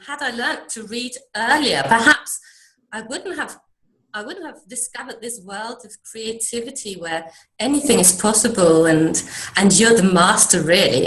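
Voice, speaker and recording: A middle-aged woman from Denmark talks in a video interview at a middle pitch. Her speech is soft and thoughtful, and her sentences often fade away quietly. The room around her is noticeably echoey. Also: measured pace. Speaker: guest, creative mentor.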